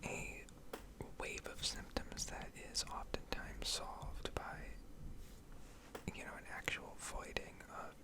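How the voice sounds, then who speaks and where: A woman speaking in a soft, breathy whisper close to the microphone, rambling and explaining in a hesitant ASMR style. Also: slow pace. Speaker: ASMR video creator.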